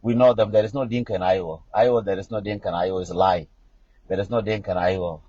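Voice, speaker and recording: A middle-aged South Sudanese man talks back at viewer comments in a husky, low voice. He is combative and repetitive and keeps hammering the same point. The sound is dull and lo-fi, and the room is dry. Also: fairly even intonation, quick pace, warm tone, no echo. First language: Nuer. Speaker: livestream commentator. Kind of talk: livestream talk answering viewer comments.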